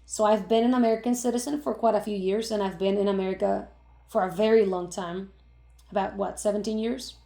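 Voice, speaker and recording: A woman's voice: medium pitch, steady tone, fairly quick, gravelly, warm tone. Emphatic Cuban-born woman, echoey room. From Cuba. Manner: emphatic, rhetorical questions, frequent "right?". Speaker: vlogger. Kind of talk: opinion monologue to camera.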